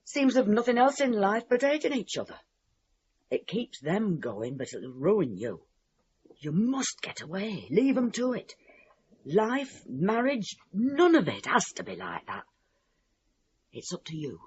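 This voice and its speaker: A man's voice: high voice, wildly swooping pitch, measured pace, muffled recording, a little hiss or hum, full character voice. An actor playing a kindly, worldly-wise older man, giving firm advice in a radio play. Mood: earnest, consoling.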